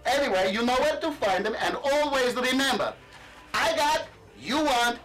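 A man's voice: very high-pitched, expressive, normal speed, fairly bright tone, distant mic, low-quality recording. An actor playing a boastful middle-aged Russian ex-KGB surplus peddler with a Russian accent, talking in broken English salesman patter. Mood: pitching.

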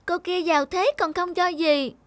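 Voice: very high-pitched, steady tone, normal speed, no room sound. A performer voicing an eager, curious, sensitive little boy in a cartoon. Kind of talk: cartoon voice acting.

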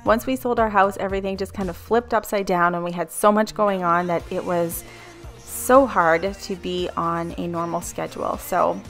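A woman's voice: lowish voice, normal speed, faint music behind. A woman in her thirties narrating her home cleaning video in a casual, rambling voice-over. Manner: chatty, rambling, relaxed asides. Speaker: cleaning vlogger.

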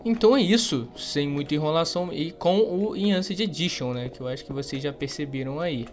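High-pitched young Brazilian man, casual gamer. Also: fairly quick. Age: young adult. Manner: playful, slangy, swearing. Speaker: gaming YouTuber. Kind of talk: casual gameplay commentary.

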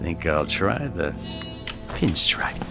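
A man's voice: deep voice, big pitch swings, slow, vocal fry, over loud music, heavily acted. A male actor in a radio drama playing a cocky, menacing gangster with a sardonic, tough-guy way of talking. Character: homeless man possessed by a menacing, cocky dead gangster.

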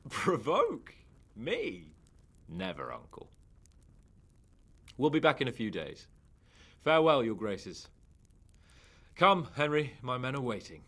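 A man's voice: medium pitch, huge pitch swings, slow, long pauses, fairly bright tone, full character voice. An actor playing a witty, cocky young nobleman who chats wryly and talks a lot in a video game cutscene. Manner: breezy, wry, talkative. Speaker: game character. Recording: game audio over horse hooves. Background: horse hooves clip-clopping.

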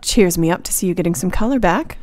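A woman's voice: lowish voice, animated, quick pace, a little mellow, lightly acted. A woman playing a caring, observant young Victorian wife in a radio play. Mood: cheerful, tender.

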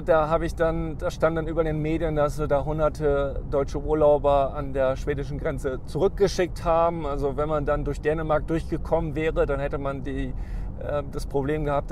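A man's voice: fairly high pitch, fairly even intonation, normal speed, a little mellow. A man, hosting a casual travel vlog while driving and rambling with many ums and restarts. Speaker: vlog host. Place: inside a car driving through snowy forest. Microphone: camera on the dashboard. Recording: faint car noise.

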